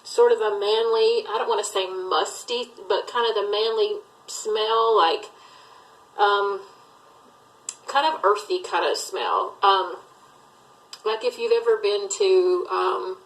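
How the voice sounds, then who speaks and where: A middle-aged woman from Alabama talks through a casual get-to-know-me video, rambling from one point to the next with plenty of fillers. Her voice sits at an ordinary middle pitch, and her manner is chatty and easygoing. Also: takes it slowly, rough recording. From Southern US, Alabama. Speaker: thrift reseller vlogger. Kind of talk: casual tag video chat.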